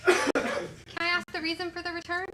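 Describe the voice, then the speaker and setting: A woman plays a patient store returns clerk who answers every odd request with dry politeness. Her voice sits high, and clear room noise runs under the live stage recording. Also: completely flat delivery, brisk. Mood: deadpan polite. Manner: dry, polite customer-service delivery. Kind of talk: live improv sketch.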